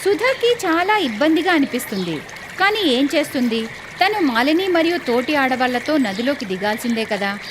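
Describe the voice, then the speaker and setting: A woman's voice: high voice, sing-song, moderate pace, noisy background. A woman narrating a scripted moral story in a storytelling style.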